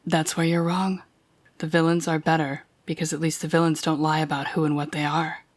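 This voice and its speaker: A woman's voice: low voice, level tone, brisk. A woman playing a cold, commanding telepathic villain who taunts a captive hero in an intimate audio roleplay. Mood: bitter, menacing. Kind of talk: intimate second-person audio roleplay acting.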